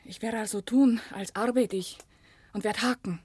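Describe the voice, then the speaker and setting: Young silesian village girl in a radio play, plain-spoken and firm, highish voice, very dry recording. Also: fairly even intonation, moderate pace, a little mellow, breathy edge, no room sound. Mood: earnest, resigned. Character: earnest, firm young village working woman. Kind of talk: German radio play acting in dialect.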